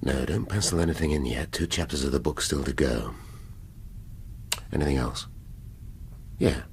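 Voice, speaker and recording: An actor with an English accent playing a brooding middle-aged police detective in a radio drama, musing in clipped half-sentences. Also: male voice, very deep voice, moderate pace, creaky, slightly rough, heavily acted. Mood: musing, muttering.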